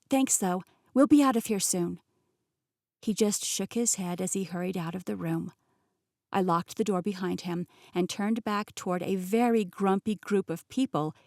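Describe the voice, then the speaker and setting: A young adult woman narrating a first-person novel in a clear, expressive storytelling manner, recorded on a close studio mic. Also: medium pitch, no room sound. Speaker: audiobook narrator.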